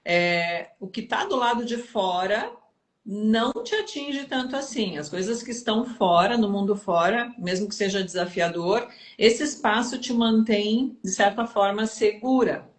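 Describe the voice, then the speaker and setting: A woman explains ideas in a measured, teacherly way. Her voice sits at a middle pitch. The sound is roomy, with a noticeable echo from the kitchen where the live stream is recorded. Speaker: guest speaker. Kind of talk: explanatory conversation. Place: home kitchen livestream.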